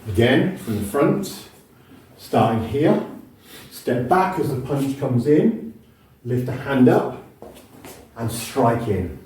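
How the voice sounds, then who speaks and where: A male martial arts instructor from the UK calmly explaining and demonstrating techniques, talking the moves through step by step. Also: medium pitch, takes it slowly, long pauses, slight background noise. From United Kingdom. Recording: echoey hall from far off.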